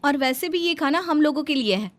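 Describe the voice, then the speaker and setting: A woman's voice: sits high, expressive, brisk, pitched above the performer's own voice. A woman voicing a young daughter-in-law in a family story, dutiful but outspoken, protesting as she speaks. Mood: indignant. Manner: pleading, protesting.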